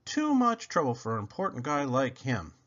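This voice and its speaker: A man's voice: mid-range voice, wildly swooping pitch, moderate pace, a bit bright, muffled recording. A man voicing a spirited, skeptical young girl in a children's storybook.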